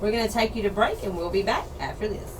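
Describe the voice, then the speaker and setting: A woman's voice: medium pitch, expressive, quick pace. Chatty woman, losing her voice. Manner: chatty, laughs easily, repeats details. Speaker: radio show co-host. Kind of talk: casual radio chat. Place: radio studio. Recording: distant mic with background noise.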